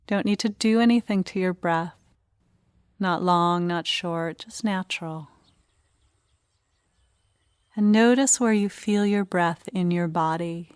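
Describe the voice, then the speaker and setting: Slow, low-pitched woman guiding meditation. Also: clean tone, no room sound, studio quality. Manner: gentle, unhurried pauses between short phrases. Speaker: meditation guide. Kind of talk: guided meditation, instructional.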